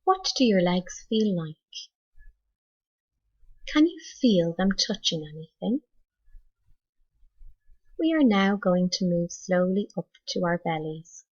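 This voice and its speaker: A woman leading a scripted guided meditation like a teacher, in a measured, instructional manner with deliberate pauses. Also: fairly low pitch, big pitch swings, lo-fi sound.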